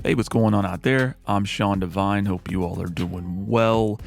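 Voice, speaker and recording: A man with a low voice, talking through a music mixing tutorial in a relaxed, explanatory way with frequent fillers. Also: a little slow, vocal fry. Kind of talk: screen-recorded mixing tutorial.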